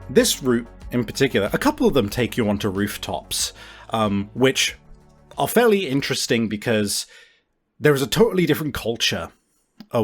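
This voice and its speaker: A man narrating a tabletop game as game master, conversational and halting, with deliberate pauses. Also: fairly low pitch, a bit bright. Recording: music playing behind. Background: background music.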